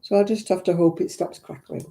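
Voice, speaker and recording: An older woman talks casually to her live viewers, greeting them as she rambles on. Her voice is croaky and very dark and mellow in tone. The audio from her simple mic has a crackle to it. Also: fairly low pitch, steady tone, a little fast. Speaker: crafting livestream host. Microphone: own simple mic, live stream.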